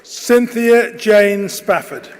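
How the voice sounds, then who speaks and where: Slow, high-pitched man announcing in a reverberant hall. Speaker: announcer reading out graduates' names. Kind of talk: formal ceremonial name announcing. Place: large ceremony hall. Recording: reverberant hall, loud crowd noise behind. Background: loud crowd noise.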